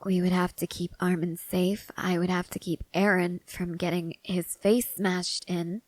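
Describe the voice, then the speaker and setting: A woman playing a weary young female soldier, guarded but tender, in an intimate ASMR roleplay, speaking in a hushed, halting way with soft whispers. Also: lowish voice, little pitch movement, no echo. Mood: wistful, burdened.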